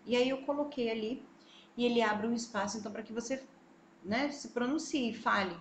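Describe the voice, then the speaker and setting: Animated Brazilian woman in echoey room. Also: on the high side, fairly quick, slight background noise. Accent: Brazilian Portuguese. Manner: animated, expressive, talks straight to viewers. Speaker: travel vlogger. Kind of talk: casual vlog storytelling. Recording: echoey room, faint ambience.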